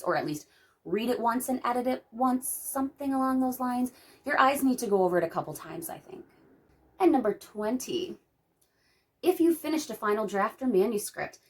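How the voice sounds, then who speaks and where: A woman in her thirties, hoarse from a cold, reading out writing questions and answering them in casual chat. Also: medium pitch. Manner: chatty, reads out questions then answers them. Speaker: writing vlogger. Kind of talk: casual talk-through of a tag video.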